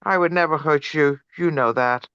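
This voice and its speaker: A woman's voice: low-pitched, moderate pace, a bit bright, clean tone, no echo. A woman at a table read playing an agitated, remorseful young male anti-war activist, on a dull recording. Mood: agitated, pleading.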